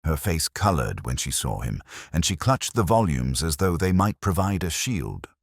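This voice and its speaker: Very deep, husky male narrator. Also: very animated, dry recording, studio quality. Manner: measured, formal storytelling. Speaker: audiobook narrator. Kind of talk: scripted literary mystery narration.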